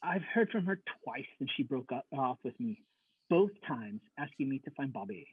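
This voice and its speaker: A man's voice: mid-range voice, very animated, moderate pace, husky, no room sound. A man reading dialogue casually in an amateur group read-through, on a slightly dull microphone. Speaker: reader in an amateur comic dramatic reading.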